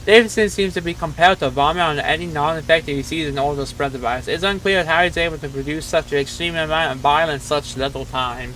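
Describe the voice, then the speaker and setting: Bright-voiced man reacting casually, some noise. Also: fairly high pitch, quick pace, hardly any pauses, poor audio. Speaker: host reacting to a horror video. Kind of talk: casual reaction commentary, reading on-screen text aloud.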